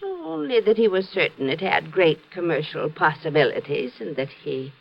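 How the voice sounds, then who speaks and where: A nervous, faltering widow. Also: female voice, fairly low pitch, animated, moderate pace, gravelly. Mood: hesitant. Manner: hesitant, gentle, faltering. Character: nervous widowed wife of a prospector. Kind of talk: 1950s radio drama acting. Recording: old muffled radio broadcast.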